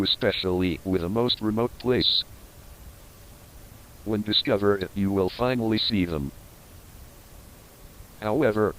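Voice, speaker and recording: A man with a fairly low voice reads scripted narration for a mock instructional horror tape. His delivery is formal and explanatory, setting out each point like a guide. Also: poor audio.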